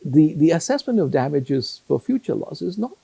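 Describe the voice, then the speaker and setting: An older man whose native language is Sindhi, answering interview questions in a measured way with many pauses and restarts. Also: on the high side, very animated, gravelly, dark tone, breathy edge, no echo. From Hong Kong. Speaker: retired judge, interview guest.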